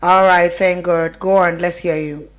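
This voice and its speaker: A woman's voice: lowish voice, steady tone, unhurried. A woman with a Nigerian accent, hosting a live radio phone-in show.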